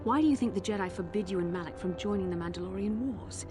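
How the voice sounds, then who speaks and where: An actress playing a proud, bitter fallen Jedi in a video game, haughty, intense and deliberate. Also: female voice, medium pitch, over music, lightly acted. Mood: scornful. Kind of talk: dramatic video game acting.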